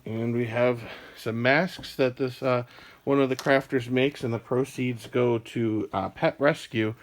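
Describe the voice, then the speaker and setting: Relaxed man rambling through a store tour. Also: medium pitch, measured pace. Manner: relaxed, rambling, many fillers. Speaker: podcast host giving a store tour. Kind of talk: casual walkthrough narration. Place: small craft store indoors.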